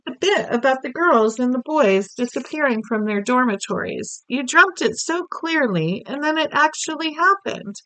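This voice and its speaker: A woman's voice: high voice, animated, normal speed, hardly any pauses, sharp tone, no room sound, pitched above the performer's own voice, rough recording. A woman doing an anxious, deferential old maid. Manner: deferential, stammering.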